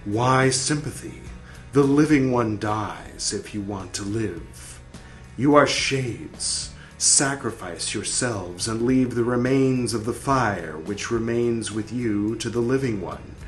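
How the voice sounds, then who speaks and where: A man's voice: mid-range voice, slow, soft background music, lightly acted. Man reading as a stern, grave soul. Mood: urging. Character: solemn disembodied soul, male, stern and probing.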